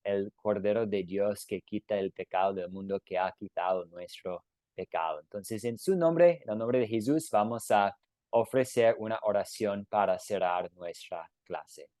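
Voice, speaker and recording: A man teaching an online Bible class who closes with a prayer, speaking gently, with many fillers and restarts. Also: fairly low pitch, measured pace, no room sound, clean studio sound. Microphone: video call.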